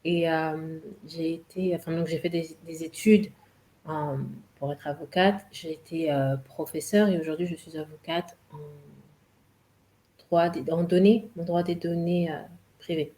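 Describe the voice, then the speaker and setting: A woman interpreting a guest's answers live, halting and correcting herself as she goes. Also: low voice, steady tone, mellow, rough recording. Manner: halting, self-correcting with many euhs.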